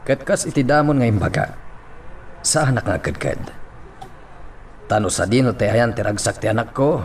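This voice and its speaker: A man's voice: medium pitch, lively intonation, moderate pace, slight background noise, lightly acted. An actor playing a kindly older family friend who urges a young woman on in a radio drama. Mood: urging.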